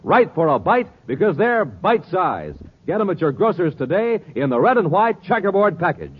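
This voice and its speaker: Animated, punchy vintage radio announcer man. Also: sits high, moderate pace, husky. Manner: punchy, emphatic, dramatic salesmanship. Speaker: announcer, narrator and ad pitchman. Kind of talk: 1950s radio announcing, scripted. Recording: muffled, noisy old-time radio recording.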